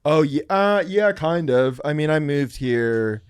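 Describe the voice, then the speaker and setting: A man's voice: a little high, animated, a little slow, clear, resonant tone, dry recording. A man with an American accent and a somewhat effeminate way of talking, chatting casually as a comedian guest on a podcast. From Oklahoma City, United States. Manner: self-described effeminate way of talking.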